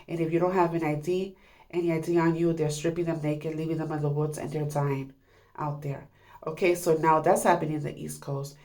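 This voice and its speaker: A woman's voice: low-pitched, warm tone. A woman with a stuffy, congested voice from a cold, talking urgently and repetitively to her viewers. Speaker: online commentator. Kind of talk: casual monologue to viewers.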